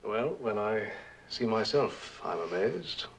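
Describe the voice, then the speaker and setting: A man's voice: fairly low pitch, expressive, slow. An actor playing a wealthy, eccentric, world-weary man, talking in a wry, rambling, theatrical way in mid-century television drama style. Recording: noisy old TV drama soundtrack.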